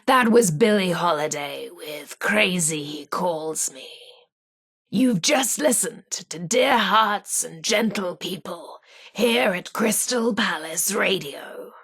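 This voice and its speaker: A vintage radio hostess from a video game, genteel and theatrical. Her voice is breathy and husky with a creaky edge, at a medium pitch. She presents at an unhurried pace, with a flirty, teasing lilt. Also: lively intonation, a bit bright, full character voice. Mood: genteel, playful. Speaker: radio host. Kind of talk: old-time radio presenting with playful banter.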